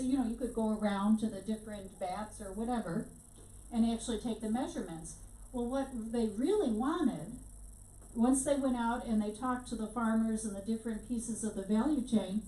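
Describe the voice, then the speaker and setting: A woman leads an informal workshop, speaking in a loose, chatty way full of restarts and fillers. Her voice is fairly high but soft and mellow in tone. She sounds some distance from the mic, in a room with noticeable echo. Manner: conversational, frequent fillers and restarts. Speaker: workshop instructor.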